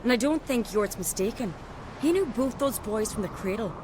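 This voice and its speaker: Higher-voiced, headstrong young noblewoman played by a woman. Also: animated, normal speed, noisy background, lightly acted. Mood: earnest, convinced. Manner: frank, earnest storytelling. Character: earnest, headstrong young island noblewoman from a fantasy realm. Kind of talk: video game cutscene acting. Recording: music behind the voice.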